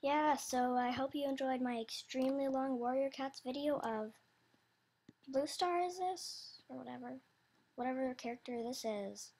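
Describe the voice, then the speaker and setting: A woman's voice: sits high, moderate pace, a little mellow. A young woman with a youthful, somewhat childish voice, chatting casually without a script and rambling as she hesitantly searches for words. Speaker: video creator announcing animation voice auditions. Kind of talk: casual unscripted chat.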